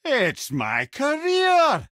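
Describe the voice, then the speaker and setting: A Scottish actor voicing a witty, talkative old male sage in a video game, with a husky voice and a wry storyteller's manner. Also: very high voice, huge pitch swings, very slow, sharp tone, dry recording, clean studio sound, exaggerated voice. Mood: dry, sardonic.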